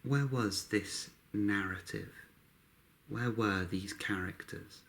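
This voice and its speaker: A man guiding a meditation, speaking calmly in short, unhurried phrases with long pauses between them. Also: on the low side. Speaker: meditation guide. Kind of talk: guided meditation narration.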